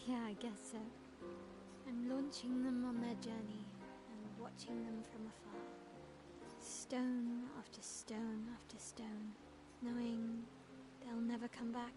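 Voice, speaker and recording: Young female adventurer for a game, pensive and guarded with gentle teasing, unhurried delivery, slightly high pitch. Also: little pitch movement, over music. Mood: wistful. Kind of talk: naturalistic video game cutscene acting.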